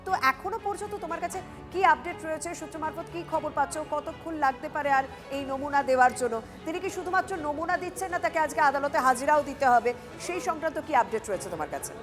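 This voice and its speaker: West bengal lady news anchor, really high voice, rapid delivery, quizzing reporters live. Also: over music.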